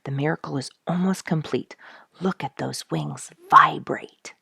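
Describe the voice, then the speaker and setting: A woman reading a storybook aloud, voicing a serious, reflective naturalist mentor in an earnest, gently instructive way. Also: low voice, unhurried, gravelly, breathy edge, no room sound, studio quality, full character voice. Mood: intent.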